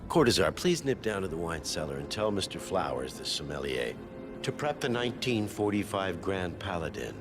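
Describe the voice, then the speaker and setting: An actor playing a suave, condescending society leader in a video game, unctuous and grandly formal. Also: male voice, low-pitched, sing-song, moderate pace, music in the background. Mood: commanding. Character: suave, self-satisfied male lawyer and society chief. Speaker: chief of the Heralds in a video game.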